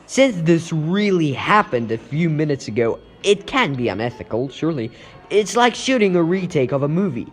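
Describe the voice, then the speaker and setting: Guy voicing a quippy young superhero, comic dub, bouncy pitch, music behind him. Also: moderate pace. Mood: scheming, pleased. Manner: quippy, animated, thinking aloud. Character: plucky wisecracking young male superhero.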